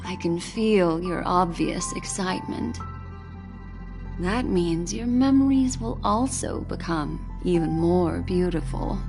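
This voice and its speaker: A mysterious, alluring woman speaks very slowly and softly in musing, seductive tones. Clear music plays under her video game lines. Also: lowish voice. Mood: teasing. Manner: slow, soft, seductive and philosophical. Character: mysterious alluring woman, memory manipulator, enigmatic. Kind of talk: game voice acting.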